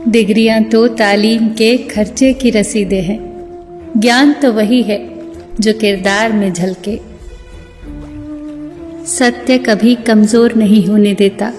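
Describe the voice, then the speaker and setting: A woman narrator reciting scripted motivational sayings in a measured, deliberate way. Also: on the high side, a little mellow. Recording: long hall reverb, music bed. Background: music.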